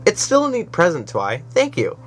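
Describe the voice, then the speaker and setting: A man voicing a teasing, bratty ten-year-old girl who whines loudly. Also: high voice, wildly swooping pitch, moderate pace, voice pushed up, full character voice. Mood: excited teasing. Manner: whiny, teasing and loud. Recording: soft music behind.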